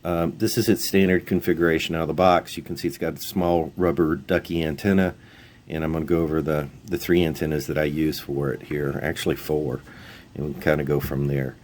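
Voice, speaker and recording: A man's voice: a bass voice, little pitch movement, a little fast, darkish tone. A man hosting a video, explaining gear in an informal, folksy, plain-spoken way. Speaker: YouTube channel host. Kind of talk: casual product overview, explaining radio gear.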